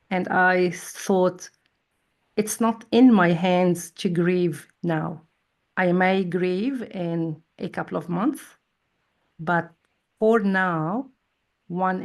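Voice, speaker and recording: A woman in her forties, a psychologist guest speaking in a measured, thoughtful way in an interview. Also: on the low side. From Lebanon. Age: 40s. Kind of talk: interview conversation.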